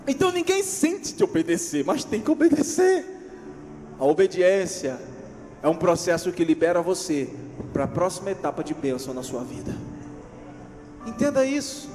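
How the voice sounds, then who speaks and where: A man from Rio de Janeiro with a Carioca accent, preaching a lively sermon to his congregation, acting out everyday scenes and asking for amens. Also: high-pitched, moderate pace, long reverb tail, soft background music. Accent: Carioca Brazilian Portuguese. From Rio de Janeiro, Brazil. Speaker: pastor. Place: church hall.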